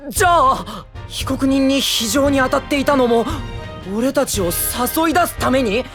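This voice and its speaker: A man's voice: very high-pitched, moderate pace, music in the background. A man playing an earnest, kind-hearted young defense lawyer who flusters easily, in an audio drama. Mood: earnest, flustered. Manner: earnest, casual, expressive. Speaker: defense attorney. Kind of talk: Japanese audio drama acting.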